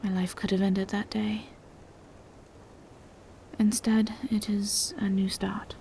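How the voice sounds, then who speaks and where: A woman voicing a gentle, bashful female vampire in an intimate roleplay audio, speaking in soft, hesitant half-whispers. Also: mid-range voice, level tone, takes it slowly, gravelly, slight background noise. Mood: tender, wistful. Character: gentle, bashful female vampire in monstrous true form, lovestruck.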